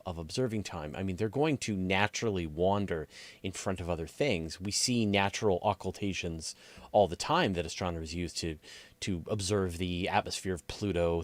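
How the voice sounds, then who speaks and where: A man with a low-pitched voice speaks as an interview host in a conversational style, building up to his questions. The sound is dry, with hardly any room around it. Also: no room sound.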